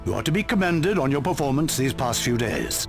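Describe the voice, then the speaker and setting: A dry, authoritative military commander speaks at middle pitch with lively inflection. The manner is formal and wry, in game cutscene style over music. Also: male voice, a little fast. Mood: wry, approving. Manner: wry, formal, deadpan humour.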